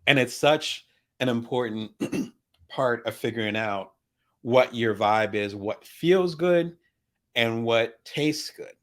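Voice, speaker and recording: A middle-aged man hosting a casual vlog, chatty and animated, full of asides and shout-outs to his viewers. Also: mid-range voice, slow. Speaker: vlog host. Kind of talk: casual talk to camera about products.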